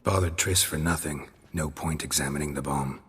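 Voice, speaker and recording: Gruff game monster hunter, extremely low pitch, rasping husky voice, clipped deadpan phrasing, sardonic. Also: male voice, normal speed, in a large hall, full character voice. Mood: dry, blunt. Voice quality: gravelly, husky. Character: gruff, sardonic, taciturn middle-aged male monster hunter. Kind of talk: video game acting.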